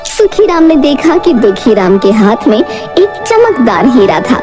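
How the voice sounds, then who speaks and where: A woman's voice: fairly high pitch, sing-song, unhurried, music in the background. A woman storyteller reading scripted narration for a moral tale.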